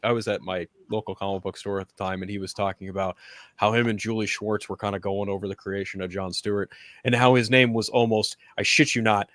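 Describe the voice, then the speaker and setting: A man's voice: lowish voice, fairly quick, no echo. Man telling a story on a dry mic. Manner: lively storyteller, swears freely. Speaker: panelist on a live stream. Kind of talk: casual group chat. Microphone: video call.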